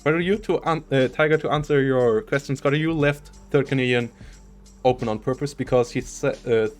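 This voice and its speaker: A man's voice: medium pitch, brisk, soft background music. A man casting a live strategy game match, analytical and chatty, laughing often. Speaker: esports caster.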